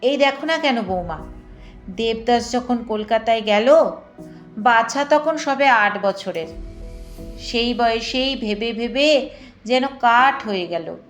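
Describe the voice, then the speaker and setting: A woman's voice: fairly high pitch, expressive, slow pace, pauses often, soft background music. A woman voicing an elderly village grandmother, deferential, hesitant and coaxing in a dramatized story reading. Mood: fond, earnest. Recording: echoing room or reverb.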